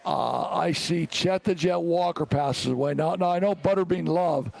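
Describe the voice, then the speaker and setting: A middle-aged American man hosts a casual sports talk show. His voice is on the high side and heavily creaky, and he rambles along, often circling back over his own phrases. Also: normal speed, darkish tone.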